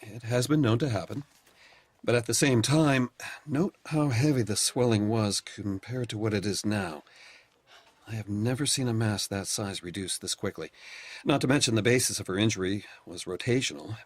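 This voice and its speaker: An actor playing a patient, clinical doctor who explains test results firmly. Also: male voice, on the low side, dry recording, close to an everyday voice. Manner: measured, clinical, firm. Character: calm, patient male doctor delivering hard news. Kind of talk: audio drama acting.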